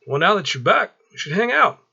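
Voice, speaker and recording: A man's voice: high-pitched, very animated, brisk, vocal fry, pushed and bright, breathy edge, highs cut off, pitched above the performer's own voice, heavily acted. A man voicing a blunt, hot-headed, cocky teenage boy in a story read aloud. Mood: excited.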